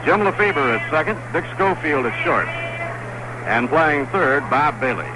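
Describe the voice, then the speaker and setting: A man doing play-by-play commentary of a baseball game on radio, steady and even with quick rises on plays. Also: on the high side. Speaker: play-by-play announcer. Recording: noisy old 1960s radio broadcast.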